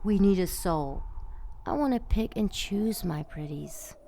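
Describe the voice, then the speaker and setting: A woman's voice: mid-range voice, expressive, a little slow, background noise. An actress playing a rebellious, lustful female demon in an audio drama, sardonic and teasing, purring some words breathily. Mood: defiant.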